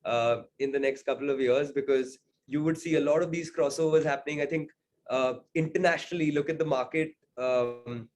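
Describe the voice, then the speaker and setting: A man speaks as an interview guest at a middle pitch. He talks in an easygoing way and keeps hedging with "sort of" and "I think". A faint hiss sits behind his voice. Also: brisk, a little mellow. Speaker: singer-songwriter guest. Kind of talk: casual interview talk.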